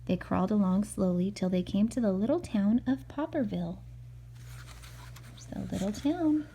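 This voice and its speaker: A woman's voice: medium pitch, moderate pace, mellow, slight background noise. A woman reading a picture book aloud to her young child, with casual asides as she goes.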